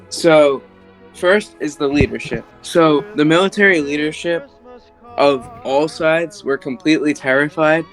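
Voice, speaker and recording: A young man giving an informal explanatory presentation in a casual, halting way. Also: fairly high pitch, a bit bright, low-quality recording.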